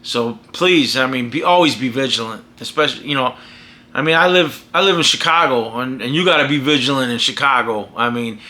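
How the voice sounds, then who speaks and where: Forceful, opinionated American man vlogging. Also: mid-range voice, a little fast. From United States. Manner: emphatic, rambling with frequent restarts. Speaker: vlogger and podcaster. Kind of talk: casual opinionated monologue.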